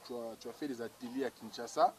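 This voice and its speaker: A man's voice: medium pitch, quick pace. A man with a Congolese accent, asking questions as an interviewer in a conversational style, recorded with people chattering behind him. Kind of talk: conversational interview with some Lingala mixed in.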